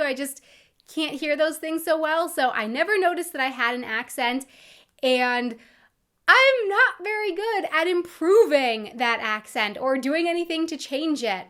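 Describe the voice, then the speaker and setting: A young American woman talks straight to camera in a chatty, expressive vlog style and laughs easily. Her voice sits high for a woman. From United States. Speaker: vlogger. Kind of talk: casual vlog talk to camera.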